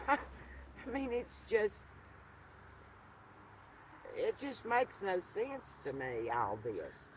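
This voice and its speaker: Older lady in her 70s talking to camera outside by the fire, real slow and earnest, bit of faint outdoor hum. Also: mid-range voice, wildly swooping pitch. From rural Appalachian North Carolina. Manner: earnest, soft-spoken, halting with restarts. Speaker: vlogger. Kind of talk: reflective monologue to camera.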